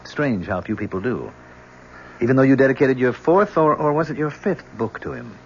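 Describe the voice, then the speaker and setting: A man's voice: mid-range voice, lively intonation, normal speed, creaky, darkish tone. An actor playing an embittered young man in an old-time radio drama, coolly menacing behind sardonic courtesy. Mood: bitter, accusing. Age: young adult. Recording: muffled, noisy old radio recording.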